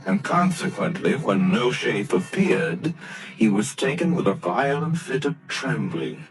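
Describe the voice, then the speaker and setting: A man narrating a classic story in measured storyteller style. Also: mid-range voice, sing-song, music in the background. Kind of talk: scripted narration.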